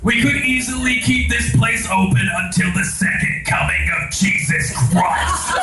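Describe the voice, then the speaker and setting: A man playing a salty, sarcastic old woman barkeep in a live comedy radio drama, with a creaky, theatrical, folksy drawl. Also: very high-pitched, lively intonation, slow pace, exaggerated voice. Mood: sardonic. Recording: echoey stage, distant mic, music behind.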